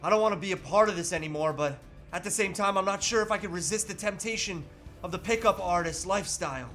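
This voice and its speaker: Man playing a higher-voiced, anguished family man. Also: quick pace, fairly bright tone, faint music behind. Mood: torn, anguished. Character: anguished, reluctant family man tempted astray. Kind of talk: comedic live table read.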